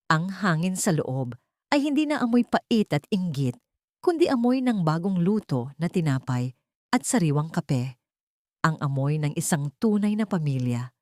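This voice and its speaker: A woman's voice: sits low, darkish tone, dry recording, studio quality. A Filipino woman reading a scripted dramatic story as an audiobook narrator.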